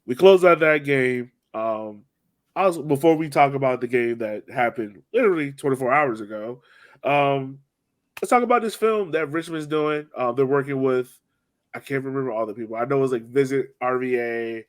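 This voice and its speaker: A man's voice: medium pitch, moderate pace. A man hosting a sports podcast, chatting informally and slangily as a fan. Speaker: podcast host. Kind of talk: casual sports chat.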